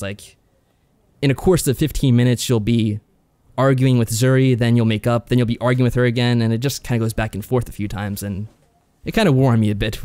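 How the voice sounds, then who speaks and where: A man co-hosting a podcast, explaining and reviewing games in a measured, conversational way. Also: mid-range voice, a little mellow, smooth, no room sound. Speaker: podcast co-host. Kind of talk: conversational visual novel review.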